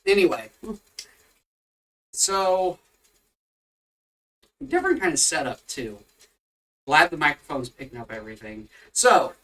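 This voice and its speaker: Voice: medium pitch, big pitch swings, unhurried, long pauses, fairly bright tone, distant mic, rough recording. A co-host of a casual home faith podcast, rambling and teasing, tired and yawning. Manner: rambling, teasing, easily sidetracked. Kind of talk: casual religious chat podcast. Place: home room with pets.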